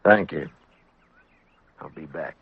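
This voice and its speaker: A man's voice: extremely low pitch, takes it slowly, slightly rough, dry recording, heavily acted. An actor playing a stern, dogged American frontier lawman in a terse, firm radio-western style. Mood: businesslike. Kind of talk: 1950s radio western acting and narration. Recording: muffled vintage radio recording, music behind.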